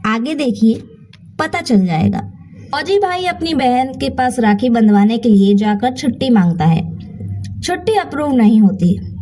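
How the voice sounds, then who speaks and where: A woman storyteller with a high, softly mellow voice narrates a moral bedtime tale. She tells it dramatically and emotively, leaving deliberate pauses between phrases. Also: lively intonation, a little hiss or hum, low-quality recording.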